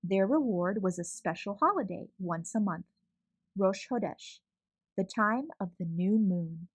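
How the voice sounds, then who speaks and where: A woman reading a children's picture book aloud with an expressive storytelling manner. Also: medium pitch, measured pace.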